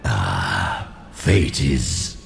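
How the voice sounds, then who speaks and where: A resurrected sorcerer, cunning and scornful, speaking in a very deep, gravelly voice. He drags his words slowly with sly, sweeping rises and falls. Game cutscene with music behind. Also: very animated, exaggerated voice. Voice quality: gravelly, sneering. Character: resurrected treacherous male mage, cunning and scornful. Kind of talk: video game cutscene acting.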